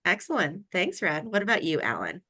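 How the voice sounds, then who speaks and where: Bright-voiced woman moderating a panel. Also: fairly low pitch, sing-song, a little fast. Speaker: career coach and panel moderator.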